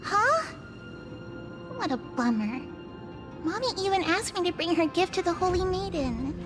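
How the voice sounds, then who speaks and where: Small polite child, eager, game character, tiny very high voice, music underneath. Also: female voice, lively intonation, a little slow. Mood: eager, hushed. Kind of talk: game voice acting. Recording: music behind the voice.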